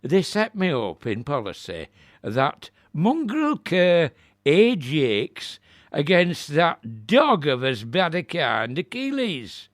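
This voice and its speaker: A man playing a scurrilous, bitter camp cynic in a Shakespearean audio drama, sneering and muttering in halting phrases. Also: on the high side, huge pitch swings, no room sound, clean studio sound, exaggerated voice. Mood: scornful.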